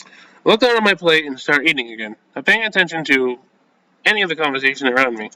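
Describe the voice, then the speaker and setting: A man hosting a gaming video, reading a visual novel aloud and breaking off into flustered, halting, slangy reactions. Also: a little high, animated, pushed and bright, rough recording. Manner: flustered, halting, self-censoring with casual slang.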